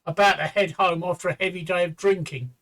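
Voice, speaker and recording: High voiced guy hosting a chat show from a pub, bright tone, rambly and jokey. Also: fairly even intonation, fairly quick. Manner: joking, rambling, stumbles on words. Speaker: podcast host. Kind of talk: casual banter.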